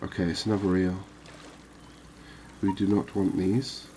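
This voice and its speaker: A man giving calm, unhurried ASMR-style commentary on a fishing game, explaining things as he plays. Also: low-pitched, a little hiss or hum. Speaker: gaming video host.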